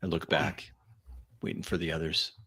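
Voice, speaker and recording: A man's voice: extremely low pitch, level tone, moderate pace, sharp tone, breathy edge. A man improvising first-person narration of his character's actions in a tabletop roleplaying game.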